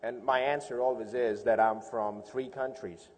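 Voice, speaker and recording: A man's voice: medium pitch, fairly even intonation, normal speed. A man giving an informal public speech as a conference speaker, conversational, telling stories and posing rhetorical questions. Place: large hall, speaking to an audience. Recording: echoey hall with long reverb.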